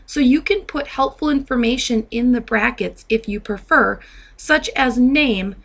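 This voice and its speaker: A woman narrating a step-by-step software tutorial as a trainer, in a methodical, instructional way. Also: fairly high pitch, measured pace, vocal fry.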